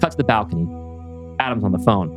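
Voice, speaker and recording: A man's voice: fairly low pitch, expressive, fast talker, music in the background. A man hosting a podcast, reading out the action of a screenplay as scripted narration. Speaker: podcast host and script reader.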